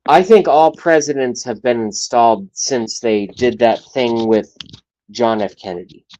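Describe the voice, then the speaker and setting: A man in his late thirties hosting a livestream talk show, rambling and profane, with his loudness swinging up and down. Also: mid-range voice, measured pace, highs cut off. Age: 30s.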